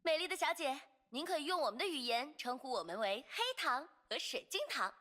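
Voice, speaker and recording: A woman's voice: high-pitched, expressive, a little fast, bright, forceful tone. A woman voicing a cute, chatty little creature girl in an anime-style video game, with music behind her.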